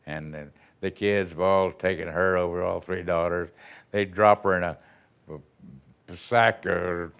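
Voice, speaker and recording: Gravelly older Texan man reminiscing. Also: sits low, fairly even intonation, unhurried, a bit bright. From Texas. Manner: rambling, folksy storytelling with chuckles. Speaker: retired band director. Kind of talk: reminiscing interview answers.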